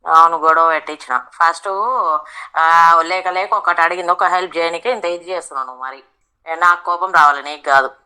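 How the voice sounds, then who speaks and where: A woman's voice: lowish voice, steady tone, brisk, sharp tone, clean tone. A woman with a Telangana accent in a casual phone conversation, talking bluntly and argumentatively. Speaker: friend on the receiving end of a prank call.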